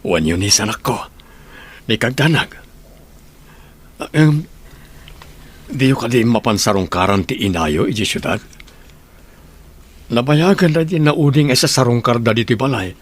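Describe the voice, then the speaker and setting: A man with an Ilocano accent playing a gentle, hardworking middle-aged father in an audio drama. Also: medium pitch, animated, a little slow, long pauses, slightly rough. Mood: gentle, earnest.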